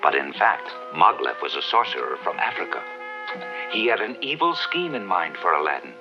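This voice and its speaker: A man's voice: sits low, sing-song, moderate pace. A man narrating a fairy tale as the scripted storyteller of a 1970s cartoon. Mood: storytelling. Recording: dull old TV soundtrack, music behind.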